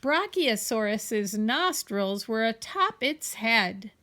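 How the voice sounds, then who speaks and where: A woman reading a children's picture book aloud in an expressive, deliberate, emphatic storytelling manner. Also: on the high side.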